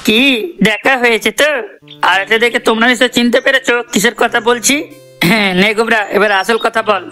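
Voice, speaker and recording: A man's voice: very high voice, steady tone, fairly quick, pushed and bright. A man voicing an assertive comedy cartoon character, emphatic and matter-of-fact. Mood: earnest. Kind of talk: cartoon character addressing viewers. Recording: voice over background music.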